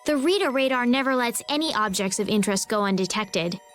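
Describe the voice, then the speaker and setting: Woman voicing sweet gentle game princess type, high voice, very up and down, polite and earnest. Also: lively intonation, a little fast, soft background music, close to an everyday voice. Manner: polite, tender. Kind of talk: English game dub voice acting.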